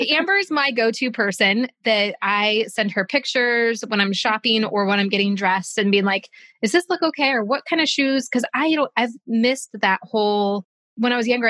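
A woman's voice: on the high side, a little fast, fairly bright tone, no echo. A woman in her forties chatting casually as a podcast co-host, thinking aloud with many "like"s. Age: 40s. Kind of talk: casual chat.

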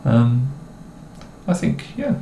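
A young adult man from the English Midlands with a mixed, somewhat posh English accent, co-hosting a casual chat in a relaxed, rambling way with self-mocking jokes. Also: medium pitch, extremely slow, gravelly. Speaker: co-host. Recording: echoey room with faint noise.

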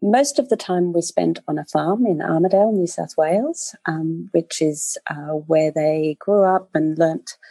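A middle-aged woman with an Australian accent speaks slowly and reflectively in a low voice, often pausing with ums. Her voice sounds close and dry, with little room sound. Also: warm tone, slightly rough, dry recording. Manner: thoughtful, hesitant, with many ums and pauses. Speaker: podcast guest. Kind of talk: casual interview storytelling.

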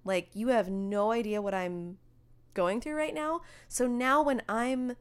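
A young woman co-hosting a casual talk show, chatty and quick as she tells her own stories, laughing easily. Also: a little high, clean tone. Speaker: podcast co-host. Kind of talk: casual chat.

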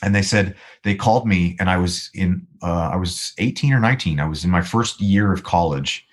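A man with a naturally low baritone voice tells a winding story, stopping and restarting his sentences often. He is a guest musician in a casual interview. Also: moderate pace. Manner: rambling, animated storyteller with many restarts.

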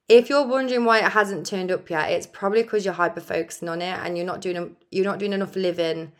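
A young woman hosting her own podcast, with a croaky, deep voice, giving earnest, chatty pep talks and laughing often. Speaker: podcast host. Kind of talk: casual motivational solo podcast.

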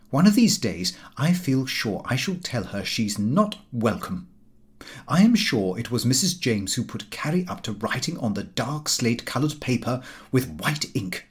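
Guy reading an old comic diary, super lively up and down delivery, kinda fussy and indignant. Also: medium pitch. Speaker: audiobook reader. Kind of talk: scripted narration of a comic diary novel.

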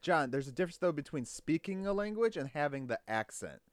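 A man's voice: a little high, very animated, a little fast, no room sound, studio quality. A man arguing his point in a casual chat, swearing freely.

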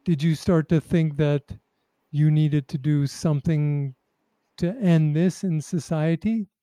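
A man's voice: a little high, fairly even intonation, takes it slowly, dark tone, no room sound. A man interviewing someone conversationally, thoughtfully rephrasing his questions as he goes.

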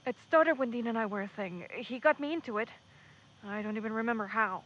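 A woman's voice: fairly high pitch, animated, a little fast, husky, highs cut off. A woman playing an earnest, hesitant young male pre-med student in a naturalistic audio drama. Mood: sheepish, confiding.

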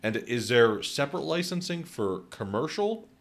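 A man's voice: medium pitch, sing-song, normal speed. A man hosting a casual interview podcast, a realtor who asks questions with upbeat affirmations.

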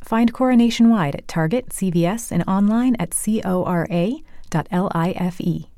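A woman's voice: medium pitch, normal speed, warm tone, no echo, clean studio sound. A woman reading a scripted modern advertisement voice-over.

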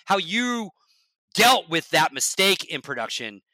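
Blunt, bright-voiced man talking shop. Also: very animated, unhurried, no room sound. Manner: blunt, emphatic, swears, drops to a low quiet voice. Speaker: esports broadcast talent, guest. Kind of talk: casual podcast chat.

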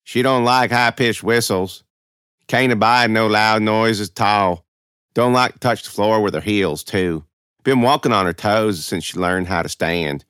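A man's voice: lowish voice, measured pace, a bit bright, clean tone, no echo, clean studio sound, voice pushed up. A man voicing a weary, defensive working-class mother in an audiobook, with blunt, folksy speech. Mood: flat, explaining.

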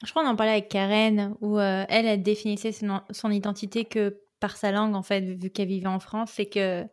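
A woman's voice: mid-range voice, flat delivery, a little fast. A woman hosting a podcast who asks casual follow-up questions and jumps in with short reactions. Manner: interjects with short reactions and laughs. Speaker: podcast host.

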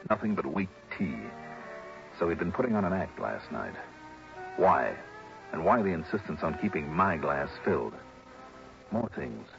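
An actor playing a shrewd, hard-boiled private investigator in mid-century radio drama, with a clipped, wry, probing way of talking. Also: male voice, deep voice, big pitch swings, moderate pace, gravelly, long pauses, exaggerated voice. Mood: brooding narration. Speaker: star of the show. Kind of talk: 1950s-60s radio drama acting and first-person narration. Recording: dull vintage radio recording with music.

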